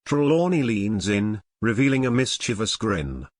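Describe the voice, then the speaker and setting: A man's voice: fairly low pitch, sing-song, normal speed, no echo. Dry-sounding male story narrator. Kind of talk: scripted audio drama narration.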